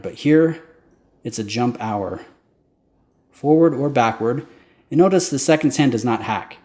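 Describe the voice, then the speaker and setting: A man's voice: medium pitch, darkish tone. A man hosting his own channel, talking casually and with enthusiasm as he explains the details of a watch. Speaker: watch reviewer. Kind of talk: casual product review to camera.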